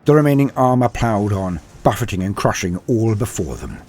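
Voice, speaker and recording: A man's voice: on the low side, very animated, moderate pace, darkish tone. A man reading a scripted war story as narrator, in a dramatic storytelling style. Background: faint music.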